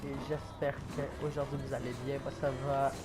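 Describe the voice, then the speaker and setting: A man's voice: mid-range voice, little pitch movement, normal speed. A man with a Québécois accent, sick with a cold and coughing, giving hesitant, casual commentary over a video game. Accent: Québécois French. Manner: hesitant, many fillers and restarts. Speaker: gaming YouTuber.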